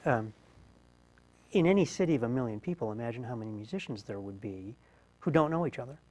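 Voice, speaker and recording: A man's voice: medium pitch, lively intonation, fast, a little mellow. A man hosting an interview, asking questions conversationally and thinking aloud as he goes. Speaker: interview host.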